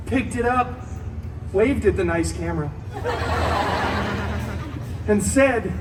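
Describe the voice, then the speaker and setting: A man's voice: high-pitched, a little hiss or hum. A man playing a wisecracking, flamboyant antihero who narrates a parody fairy tale in a live stage show, with sarcastic asides. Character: cheeky, theatrical, wisecracking male antihero storyteller. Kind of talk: comic children's story-time parody, stage narration. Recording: distant theme park show, music behind.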